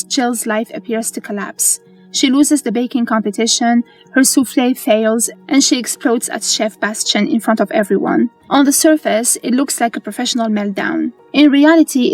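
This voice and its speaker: A woman reads scripted explainer narration in a measured, earnest way. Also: a little high, rough recording. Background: music.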